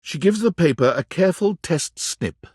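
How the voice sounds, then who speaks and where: A man's voice: on the high side, animated, moderate pace, a little mellow, husky, no room sound, clean studio sound. A man reading second-person game narration in a wry, storybook manner. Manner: wry, storybook-style delivery.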